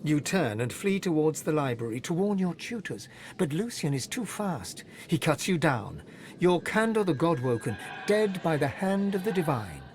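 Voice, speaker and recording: A man playing a solemn storyteller who narrates a fantasy game in a measured, dramatic way. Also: on the high side, sing-song, a little mellow, a little hiss or hum. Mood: ominous.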